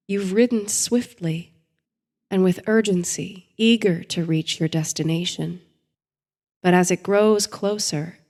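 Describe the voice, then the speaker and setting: A woman host narrating a bedtime story and guided meditation in a soothing, gentle, measured way. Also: on the low side.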